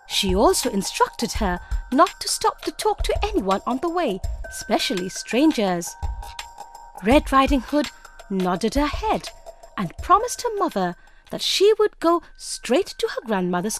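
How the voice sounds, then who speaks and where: A woman narrating scripted fairy tales for young children as a storyteller. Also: sits high. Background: music.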